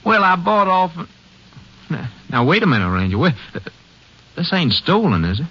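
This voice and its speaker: High-pitched, folksy ranch hand. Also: male voice, big pitch swings, normal speed, breathy edge. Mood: flustered, defensive. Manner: folksy drawl, stammering when pressed. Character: nervous young ranch-hand cowboy protesting innocence. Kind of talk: 1950 radio western acting. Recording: dull vintage radio recording.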